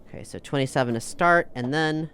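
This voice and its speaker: A woman playing a tabletop game, talking quickly and playfully and often laughing. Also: sits low, fairly bright tone, a little hiss or hum. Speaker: player. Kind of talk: casual roleplay chat.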